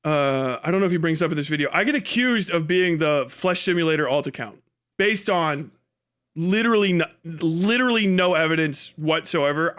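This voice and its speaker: A man's voice: fairly high pitch, a bit bright, clear, resonant tone. A man doing casual, profane live stream commentary, mocking and emphatic, repeating himself for effect. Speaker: live streamer reacting to a video.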